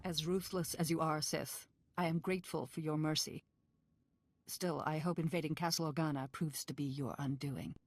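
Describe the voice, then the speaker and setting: An actress voicing a hardened, proud female general in a video game, commanding, measured and stern. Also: low voice, fairly even intonation, no room sound. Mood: bitter, defiant.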